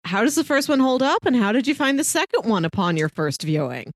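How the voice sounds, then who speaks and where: A woman's voice: on the high side, very animated, fairly quick, no room sound, clean studio sound. A woman co-hosting a podcast, talking through films in a casual, thoughtful, conversational way. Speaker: podcast co-host. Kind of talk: casual movie discussion.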